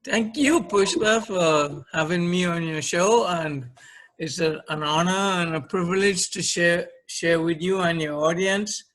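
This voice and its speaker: Higher-pitched Trinidadian man, podcast guest. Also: unhurried, fairly bright tone. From Trinidad and Tobago. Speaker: podcast guest, leadership trainer. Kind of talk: conversational interview, recounting career.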